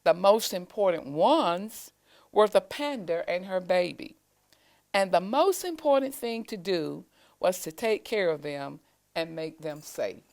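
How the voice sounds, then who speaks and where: A woman voicing a wise, patient old male turtle mentor in a storybook, explaining things gently and deliberately. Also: on the low side, expressive. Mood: patient, instructive.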